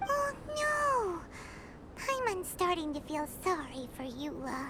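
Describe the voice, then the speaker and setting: Tiny fairy girl, super high squeaky voice, bounces all over the place, talks slow and drawn out, loud noisy background. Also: full character voice. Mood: sympathetic. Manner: refers to herself in third person, excitable. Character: tiny chatty female fairy companion.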